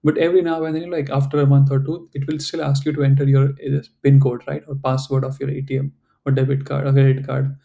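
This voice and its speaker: Guy teaching german online, raspy deep-dark voice, keeps saying you know and right, not a native english speaker. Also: flat delivery, quick pace, dull audio. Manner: explains patiently, many fillers like "you know" and "right". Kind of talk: online class instruction. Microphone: video call.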